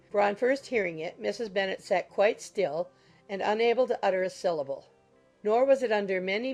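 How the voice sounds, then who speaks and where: A woman narrator reading a classic novel in a measured, literary storytelling manner. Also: mid-range voice. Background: soft music.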